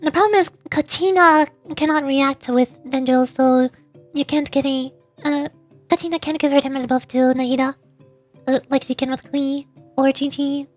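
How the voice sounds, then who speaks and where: A woman's voice: sits high, fairly even intonation, moderate pace, soft background music. A woman streaming a video game and chatting casually through gameplay commentary. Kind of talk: casual gaming livestream commentary.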